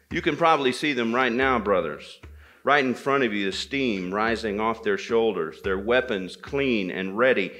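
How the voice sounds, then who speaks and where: A man telling a folktale aloud to listeners, deliberate and repeating phrases for dramatic effect. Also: medium pitch, a bit bright. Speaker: storyteller at a men's gathering. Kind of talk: live oral storytelling of a folktale, dramatic pauses and repetition.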